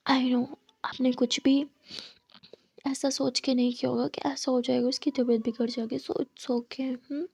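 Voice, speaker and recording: Woman voicing a fretful, caring young woman, high and close to the mic. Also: little pitch movement, vocal fry, warm tone, breathy edge, dry recording, heavily acted. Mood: soothing. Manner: flustered, fast, worried.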